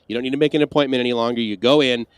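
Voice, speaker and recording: A man's voice: mid-range voice, fairly even intonation, racing, clear, resonant tone, no room sound. A man co-hosting a livestream Q&A, chatting casually and rambling with asides and restarts. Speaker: livestream co-host. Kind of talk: casual Q&A chat.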